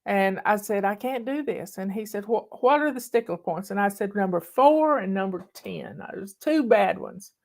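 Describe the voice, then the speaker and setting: A woman's voice: mid-range voice, expressive, normal speed, creaky, hardly any pauses. Folksy older Southern woman telling stories. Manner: folksy, chatty, bossy. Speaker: online host. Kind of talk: casual storytelling chat. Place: home in the mountains.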